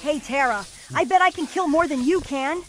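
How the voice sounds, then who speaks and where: A woman's voice: sits high, moderate pace, heavy background noise, lightly acted. A woman voicing a plucky, determined and kindhearted boy hero in a cartoon comic dub. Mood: eager, defiant. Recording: music playing under the voice.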